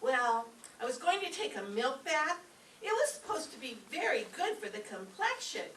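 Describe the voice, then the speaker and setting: A woman's voice: high-pitched, very animated, normal speed, fairly bright tone. A woman playing a naive, scatterbrained, easily frightened young roommate in a radio comedy, earnest and literal-minded. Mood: earnest, dim. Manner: earnest, literal-minded delivery of silly logic. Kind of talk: live old-time radio comedy acting. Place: echoey room, mic at a distance. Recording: roomy, distant live stage recording, faint noise.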